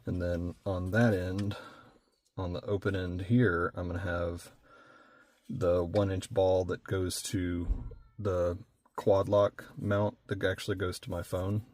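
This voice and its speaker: A man giving a casual hands-on demonstration as host of an install video, thinking aloud in an unhurried way while he works. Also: low-pitched, level tone, darkish tone, no echo.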